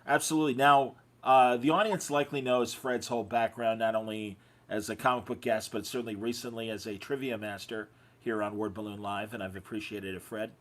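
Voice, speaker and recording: A man's voice: on the low side, fairly even intonation, fairly quick, hardly any pauses, a bit bright. A man hosting a podcast, chatting casually and effusively with his guests. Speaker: podcast host. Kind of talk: casual interview chat.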